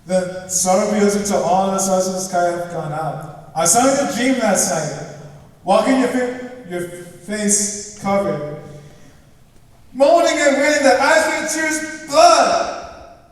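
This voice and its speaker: A man's voice: high-pitched, expressive, takes it slowly. A young man playing a stern, protective brother who warns his little sister in grave, declamatory stage speech. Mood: foreboding. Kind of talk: amateur stage play acting. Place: theater stage, indoors. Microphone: distant camera. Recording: echoey theater hall from far off.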